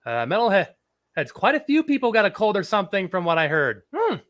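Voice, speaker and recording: Chatty man with a high voice. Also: huge pitch swings, brisk, fairly bright tone. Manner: chatty, rambling, reads chat aloud. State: slightly scratchy from a cold. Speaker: livestream host. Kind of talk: casual live chat.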